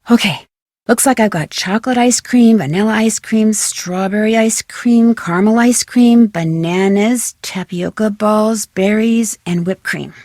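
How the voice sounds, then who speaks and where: A young American woman playing a plucky, curious amateur detective in a video game, with crisp, expressive, self-narrating delivery. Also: mid-range voice, dry recording, studio quality, lightly acted. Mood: matter-of-fact. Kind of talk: scripted video game character lines.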